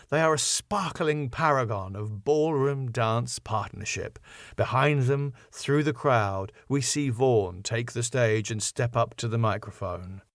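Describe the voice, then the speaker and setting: A male narrator with a medium-pitched voice reads scene headings and stage directions. The delivery is scripted narration, recorded close and dry. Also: no room sound. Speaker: narrator and writer reading his script.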